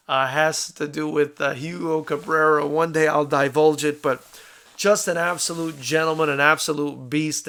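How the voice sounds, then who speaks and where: An American man with a fairly high, very bright and forceful voice hosts a combat sports show. He talks casually and conversationally to his viewers, addressing them like brothers as he gives his take on fighters. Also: clear, resonant tone. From South Florida, United States. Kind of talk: casual live fight commentary, answering viewer comments.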